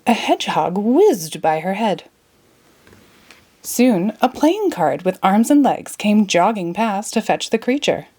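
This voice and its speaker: Expressive woman reading a children's storybook. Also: medium pitch. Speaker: storybook narrator. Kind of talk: expressive children's read-aloud narration.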